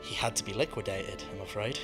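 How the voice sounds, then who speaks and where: A man's voice: on the low side, music in the background, natural voice. A man playing a gloating, mocking serial killer villain in an amateur radio play, with theatrical, taunting delivery. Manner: mocking, taunting, drawn-out menace.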